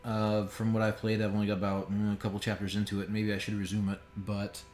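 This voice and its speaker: A man's voice: lowish voice, completely flat delivery, fast, a little mellow. A man giving casual, joking and sometimes profane commentary as a game streamer. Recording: roomy echo with faint background noise.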